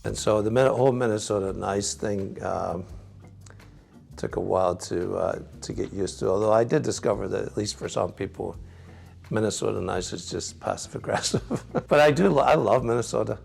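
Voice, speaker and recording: A middle-aged Scottish man, a priest, answering interview questions in a gentle, reflective way with frequent soft laughs. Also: lowish voice, moderate pace, creaky, slightly rough, faint music behind. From Scotland.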